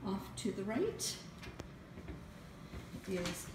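Lady doing a house rental tour on her phone, slow and halting, really echoey empty rooms. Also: mid-range voice, very animated. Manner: halting, pausing between short phrases. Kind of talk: walk-through property tour narration. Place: walking through an empty house. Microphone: handheld phone behind the camera. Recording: echoey empty rooms, clear background noise.

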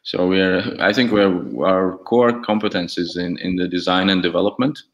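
A young man whose first language is Latvian, speaking English as a guest on a video call with a headset, candid and halting with many restarts. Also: deep voice, fairly even intonation, highs cut off. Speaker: guest, e-learning template developer. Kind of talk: casual video call chat.

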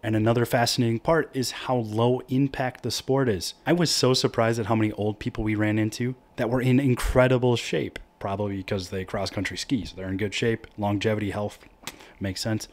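A man's voice: lowish voice, fairly even intonation, fairly quick, darkish tone. A young man in his twenties, an upbeat vlogger explaining a sport in a chatty way from a cozy living room. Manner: chatty, upbeat, slangy asides. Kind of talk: casual explainer vlog.

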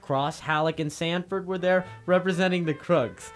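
An American actor playing a brash, wisecracking detective's legman in 1940s radio drama style, punchy and quick-tempered. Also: fairly high pitch, fairly even intonation, soft background music, lightly acted. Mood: brisk narration.

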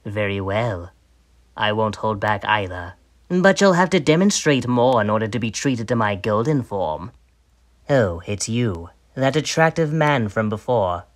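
A man voicing a vain, sadistic alien tyrant from an anime, theatrical and mockingly polite as he taunts and gloats. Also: mid-range voice. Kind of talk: anime villain voice demo, acted character lines.

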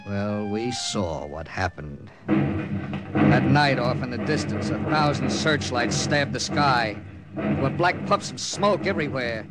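Resolute army captain, animated delivery. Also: male voice, medium pitch, slow pace, over loud music, rough recording. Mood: grave, dramatic. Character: resolute, hard-boiled wartime army captain recounting his mission. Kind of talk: old-time radio drama acting and narration.

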